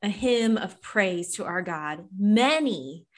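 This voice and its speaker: A woman's voice: medium pitch, lively intonation, takes it slowly. A middle-aged woman talking casually and earnestly about faith as a podcast guest, with emphatic, informal phrasing. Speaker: podcast guest, Bible study author.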